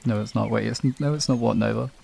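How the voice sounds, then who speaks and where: A man's voice: lowish voice, fairly even intonation, quick pace, vocal fry, mellow, slight background noise. A British man chatting casually on a livestream, replying to viewers in an informal, rambling, sweary way. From United Kingdom. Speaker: livestream host.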